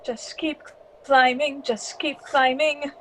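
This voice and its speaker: A woman's voice: sits high, flat delivery, slow pace, slight background noise, low-quality recording. A woman playing a desperate, devoted mythical musician in a melodramatic radio drama. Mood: urgent.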